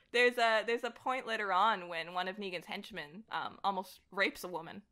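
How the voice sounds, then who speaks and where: A woman's voice: medium pitch, moderate pace, pushed and bright. A woman chatting casually and enthusiastically as a guest on a podcast. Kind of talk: casual chat.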